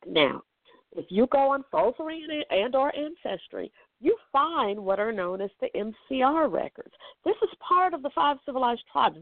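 Hoarse, gravelly woman on a phone line. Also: medium pitch, no room sound. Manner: enthusiastic, emphatic. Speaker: genealogist and podcaster, guest. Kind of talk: interview answers. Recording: tinny phone line, dry.

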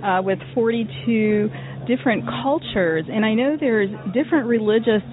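A woman's voice: fairly high pitch, moderate pace. Woman host asking questions over phone-like audio. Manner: asks long, searching questions with some hesitations. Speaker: radio host. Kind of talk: interview questions. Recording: phone-like narrow band.

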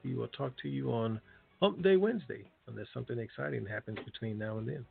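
A man's voice: medium pitch, fast talker, creaky. A man co-hosting a call, speaking casually with an announcer-like turn. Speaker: call co-host. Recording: lo-fi phone line, faint music.

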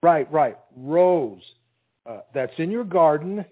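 A man's voice: a little high, expressive, takes it slowly. A man playing an anxious, dim young soldier suitor who hesitates and stammers in a stage comedy. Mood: floundering, bashful. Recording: muffled, tinny narrow-band sound.

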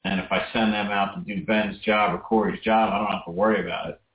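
Low-voiced guy rambling with tons of "you know"s, far off in an echoey room over a phone line. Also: steady tone, fast. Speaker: guest vendor. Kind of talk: casual radio chat. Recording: distant, roomy, phone-like line.

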